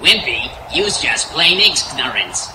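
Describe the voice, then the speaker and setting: A man playing a gruff, good-hearted sailor with a gravelly growl and mangled grammar, in old-time radio comedy style. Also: medium pitch, very animated, slow pace, background noise. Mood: amused, boastful. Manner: salty, ungrammatical sailor slang. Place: outdoor stage in woods. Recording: live outdoor stage, music behind.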